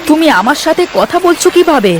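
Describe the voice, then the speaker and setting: A man's voice: extremely high pitch, expressive, brisk, very noisy. A man voicing a timid, kindly young village boatman in a cartoon, exclaiming and easily frightened. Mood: terrified. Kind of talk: cartoon voice acting.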